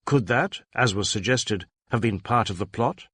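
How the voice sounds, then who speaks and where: A man narrating a detective novel as an audiobook, with measured, dramatic storytelling. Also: lowish voice, fairly bright tone, slightly rough, no echo, studio quality.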